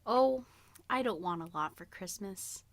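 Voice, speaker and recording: A woman's voice: medium pitch, expressive, a little slow, a little hiss or hum. A woman co-hosting a podcast, chatting casually in an informal, playful, slangy way. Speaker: podcast co-host. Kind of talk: casual banter and song guessing game.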